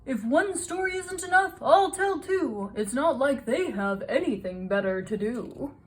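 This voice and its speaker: A woman's voice: high-pitched, lively intonation, a little slow, voice pushed up. Woman doing an eager boy storyteller. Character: eager boastful young male storyteller.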